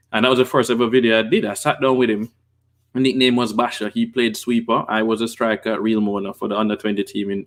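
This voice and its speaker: A Jamaican man in his forties hosts a casual livestream from his home office, speaking into a desk microphone with a pop filter. He tells stories with energy and gestures, and he reads out viewer comments and answers them. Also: medium pitch. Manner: animated storytelling with hand gestures. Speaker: livestream host.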